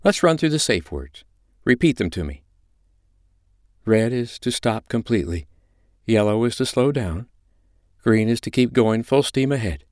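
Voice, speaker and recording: A man's voice: mid-range voice, lively intonation, a little slow, pauses often, a little mellow, no room sound, studio quality, voice pushed up, natural voice. A man voicing a firm, commanding but reassuring mistress in an audiobook story. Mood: firm, instructing. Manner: firm, instructing, soothing. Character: commanding, reassuring adult woman, a dominatrix mistress.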